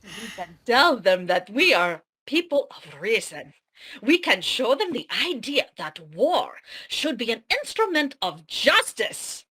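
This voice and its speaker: Lively, husky woman reading a script. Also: fairly high pitch, measured pace. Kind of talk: amateur dramatic table read.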